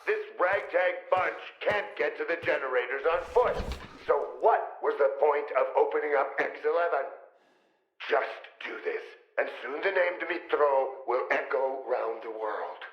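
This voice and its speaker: In a reverberant space, a man plays a bullying colonel from a game drama. His voice sits fairly high, and he is harsh, impatient and goading. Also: measured pace, highs cut off, lightly acted. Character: bullying, foul-mouthed, impatient male colonel. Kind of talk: dramatic video game dialogue.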